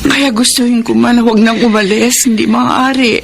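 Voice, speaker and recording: A woman's voice: a little high, steady tone, moderate pace, creaky, background noise. A woman playing a devoted, long-suffering Filipino mother in a radio drama. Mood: tender, resolute. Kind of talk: radio drama acting with narration. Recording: loud music under the voice.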